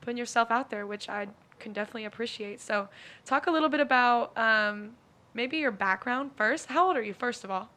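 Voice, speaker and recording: A woman's voice: fairly high pitch, a little fast, sharp tone. An American woman hosting a podcast in a casual, chatty interview style, enthusiastic and slangy. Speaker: podcast host.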